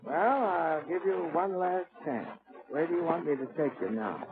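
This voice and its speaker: An actor playing a patient, plain-spoken guardian angel in a 1940s radio comedy, recorded on dull old broadcast sound. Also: male voice, a little high, lively intonation, normal speed, crowd noise. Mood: patient, weary. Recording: dull 1940s radio, audience noise behind.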